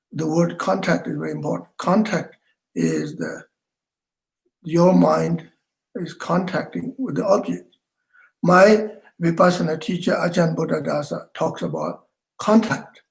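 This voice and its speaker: An older man giving a meditation talk, speaking slowly with many pauses, fillers and restarts, over a home video call. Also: a little high, gravelly, poor audio. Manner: halting, with frequent fillers and restarts. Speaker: meditation teacher. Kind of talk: dharma talk.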